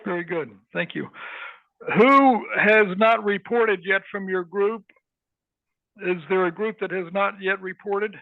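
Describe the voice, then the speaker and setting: A man with a high voice moderates a workshop over a video call, polite and firm as he keeps things moving. His audio is tinny and phone-like, with a dry, close sound. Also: measured pace, creaky, dry recording.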